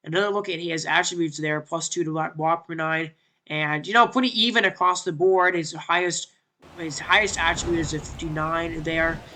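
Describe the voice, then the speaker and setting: A man's voice: fairly high pitch, bright, forceful tone, slight background noise, rough recording. A young man with a stuffy, congested voice doing chatty play-by-play commentary over a baseball video game, full of fillers and restarts and rising when a big play happens.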